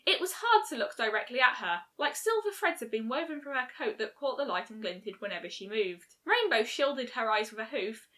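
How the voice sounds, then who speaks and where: A woman's voice: fairly high pitch, animated, fairly quick, few pauses, bright, forceful tone. A woman reading a scripted story aloud as narrator. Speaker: fan fiction reader.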